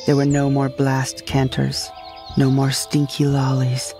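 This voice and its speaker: Woman narrator, creaky voice, deadpan storytelling, scripted sci-fi comedy, clear music underneath. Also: rumbling low, a little slow. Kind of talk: first-person scripted comedic sci-fi audio drama narration.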